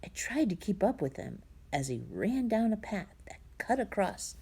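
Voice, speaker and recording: A woman reading a children's story aloud in a dramatic, suspenseful manner, with a husky, breathy voice that drops into hushed tones. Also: mid-range voice, big pitch swings, normal speed. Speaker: storybook reader.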